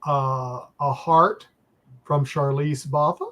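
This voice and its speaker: A man's voice: on the high side, steady tone, slow pace, a bit bright. A man hosting a live-stream chat on a video call, friendly, reading out viewer comments.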